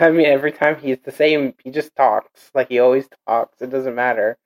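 A man's voice: medium pitch, flat delivery. A man in casual chat with friends, rambling and correcting himself as he talks. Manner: rambling, self-correcting, quick to clarify.

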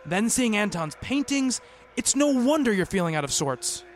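Man acting an earnest doctor, higher-pitched voice. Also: sing-song, normal speed, a little hiss or hum. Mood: concerned, earnest. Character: concerned, skeptical male doctor narrating his investigation. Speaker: narrator and lead actor. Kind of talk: radio play narration and dialogue.

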